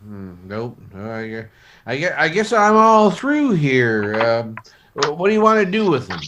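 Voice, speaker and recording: A slow male voice that rises and falls a good deal. He is a dry, muttering Old West doctor in a radio drama. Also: on the high side, big pitch swings, fairly bright tone. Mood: dry, grim. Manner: hesitant muttering. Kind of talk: old-time Western radio acting.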